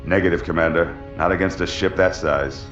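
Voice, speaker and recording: A man's voice: deep voice, steady tone, fairly quick, fairly bright tone. A male actor playing an authoritative, dignified military commander in a sci-fi game, formal and commanding. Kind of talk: cinematic game voice acting. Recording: echoey, dull, with music behind.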